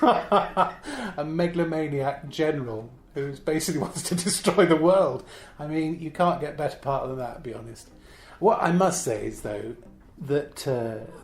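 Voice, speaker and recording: A man's voice: a little high, darkish tone. An older man, an actor, chatting enthusiastically in a casual interview. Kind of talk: casual behind-the-scenes interview. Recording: roomy echo under faint music.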